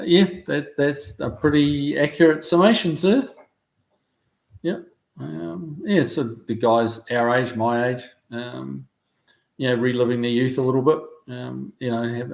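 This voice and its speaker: A middle-aged man with a New Zealand accent, hosting a casual livestream, reading out viewer comments and answering them in a relaxed, halting way with lots of ums and restarts. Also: medium pitch, creaky, poor audio. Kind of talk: casual chat.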